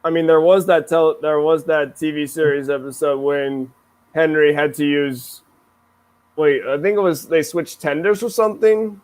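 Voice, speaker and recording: Casual stream guest, man, slightly high voice, chatty, laughs a lot. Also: steady tone, normal speed, a little mellow, poor audio. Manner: chatty, laughs often, restarts sentences. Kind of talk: casual chat.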